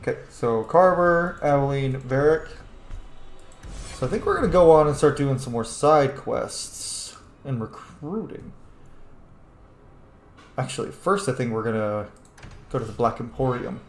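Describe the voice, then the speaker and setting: A man's voice: medium pitch, a little slow, long pauses, soft background music. Casual man in a roomy, echoey space. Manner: informal, profane, chatty. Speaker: gameplay host, role-playing commentator. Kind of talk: casual gameplay commentary. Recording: roomy echo, faint noise.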